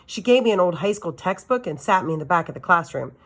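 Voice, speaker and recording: A woman with a slightly low voice reads a children's novel aloud. She narrates like a teacher sharing a story with students, giving it expression. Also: expressive, quick pace.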